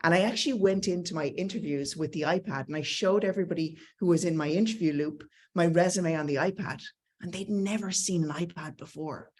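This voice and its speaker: Irish woman, middle-aged, lowish voice, upbeat conference speaker with slides. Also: a little fast, a little mellow. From Ireland. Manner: enthusiastic, conversational, self-deprecating asides. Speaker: tech company speaker.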